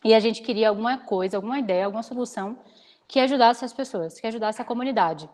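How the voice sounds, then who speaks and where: A woman in her twenties from Salvador, a startup founder giving an energetic, practical guest talk over a video call. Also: fairly high pitch, quick pace. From Salvador, Bahia, Brazil. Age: 20s. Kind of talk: online lecture with slides.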